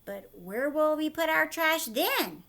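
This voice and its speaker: Woman putting on a worried, high-pitched hippo mayor. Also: expressive, slow, fairly bright tone, heavily acted. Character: worried well-meaning hippo mayor of an animal town.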